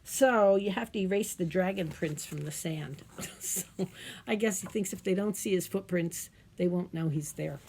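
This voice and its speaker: A woman reading a children's picture book aloud as a storytime reader, expressive and playful, adding chatty asides and questions for the listeners. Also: lowish voice, moderate pace, slight background noise.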